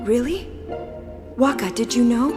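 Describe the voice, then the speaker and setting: A woman acting a gentle, apologetic young summoner in a video game. Her voice is slightly high, in long hall reverb with music behind. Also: a little slow, lightly acted. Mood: concerned.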